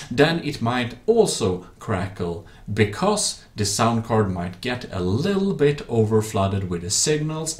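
A man narrating a tech tutorial as its host, in slightly awkward non-native English phrasing with some off-the-cuff asides. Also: medium pitch.

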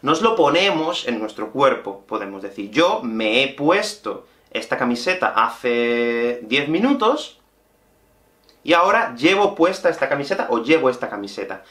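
Spanish guy from spain doing a teacher video, bright forceful voice, talks right to viewers, roomy echo. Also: medium pitch, moderate pace. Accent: Peninsular Spanish. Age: 30s. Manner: expressive, explanatory, addresses viewers directly. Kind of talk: language lesson to camera. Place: small indoor room with whiteboard and bookshelf. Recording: echoey small room.

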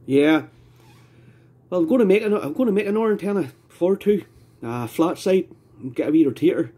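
Husky, mellow Scottish man. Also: high-pitched, animated, normal speed. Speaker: amateur radio operator. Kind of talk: casual two-way radio chat. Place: indoors at a home radio desk.

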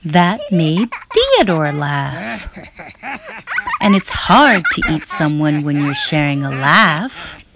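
A woman reading a children's picture book aloud as a storyteller, expressively and with deliberate, dramatic pauses. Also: fairly low pitch, fairly bright tone, a little hiss or hum.

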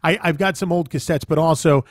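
A man's voice: on the high side, brisk, no echo, clean studio sound. A man co-hosting a game show podcast, opening with upbeat energy and chatting casually. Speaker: podcast co-host. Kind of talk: casual chat.

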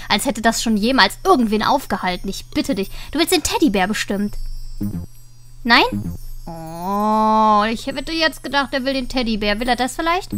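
A woman with a cold, chatting casually and joking as she plays through an adventure game. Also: a little high. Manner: chatty, joking, thinks out loud. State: has a cold. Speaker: let's play host. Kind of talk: casual gameplay commentary.

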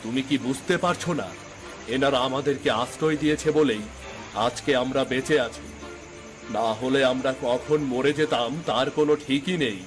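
A man's voice: mid-range voice, moderate pace, natural voice. A man playing an emphatic, melodramatic village man in a cartoon folk tale. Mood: scolding. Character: stern, scolding village husband and pleading chief in a folk tale. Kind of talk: Bengali cartoon fairy-tale voice acting. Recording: music behind the voice. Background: background music.

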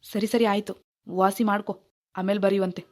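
A woman's voice: mid-range voice, level tone, darkish tone, voice pushed down, close to an everyday voice. A woman voicing a put-upon young husband in a domestic drama, pleading and complaining. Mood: giving in. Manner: pleading, complaining, quick to give in. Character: put-upon young husband running a small tea stall. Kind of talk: amateur domestic drama acting.

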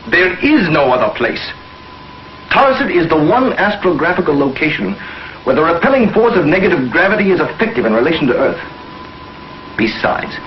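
A determined, scornful man of science in 1950s TV sci-fi. His voice is a bit high and moves a lot as he declaims. The old soundtrack is roomy and muffled. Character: stern, determined, skeptical male space scientist. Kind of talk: 1950s television science fiction acting. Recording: echoey, muffled, faintly noisy old TV soundtrack.

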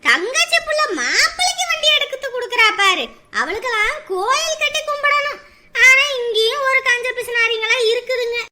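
A woman's voice: very high-pitched, lively intonation, moderate pace, background noise. An actress playing an aunt who comforts her tearful niece and gossips sharply about stingy relatives. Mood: gossipy. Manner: soothing, then gossipy. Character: fond, gossipy aunt, tender and sharp-tongued. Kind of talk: TV serial drama.

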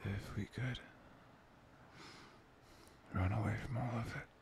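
A man performing an intimate boyfriend ASMR roleplay, murmuring softly and tenderly, breathy and often dropping to a whisper. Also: on the low side, fairly even intonation, noisy background. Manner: soft, tender, murmuring with long pauses.

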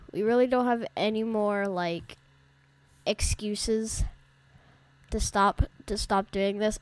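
A woman gaming YouTuber giving casual, rambling let's-play commentary, talking straight to her viewers, her voice a little off from allergies. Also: medium pitch. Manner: chatty, rambling, talks to viewers as "guys". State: slightly off voice from allergies.

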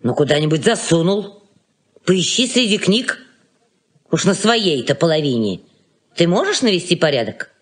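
A woman's voice: on the low side, very animated, moderate pace, pauses often, husky. An older woman acting a fussy, bossy elderly aunt in a 1980s Soviet radio play, effusive and nagging by turns.

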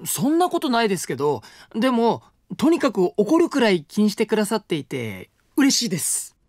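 A gentle, mature university student next door. He talks politely and calmly, with a lively lilt and a touch of teasing. The recording is a dry, close studio take. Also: sits high, a little fast, dry recording, exaggerated voice. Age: 20s. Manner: soft, polite and calm, lightly teasing. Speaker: voice actor. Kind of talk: voice drama acting. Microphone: close studio mic.

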